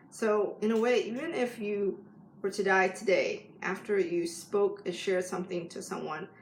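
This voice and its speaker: A woman talks as a life coach in a relaxed live vlog. She rambles and chats, with many restarts. Her mid-pitched voice has a gravelly fry, and the sound rings in an echoey room. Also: slight background noise. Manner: chatty and rambling, with many restarts and filler words.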